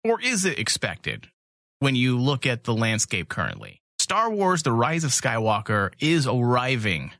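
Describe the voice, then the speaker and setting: A man's voice: mid-range voice, wildly swooping pitch, a little slow, sharp tone, no room sound. Casual, emphatic male podcast co-host, dry sound. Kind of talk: casual fan podcast chat.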